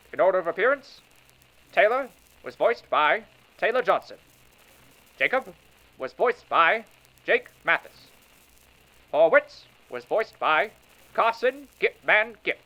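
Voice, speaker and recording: A man's voice: sits high, low-quality recording. A man reading the end credits of a radio play. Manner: plain, steady list reading. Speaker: credits announcer.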